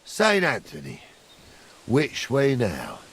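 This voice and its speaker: An actor playing a weary, gruff ageing medieval soldier with a gravelly voice, grumbling but deferential, in a video game. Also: male voice, medium pitch, wildly swooping pitch, slow pace, a bit bright, heavily acted. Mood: dutiful. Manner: plain-spoken, deferential grumbling.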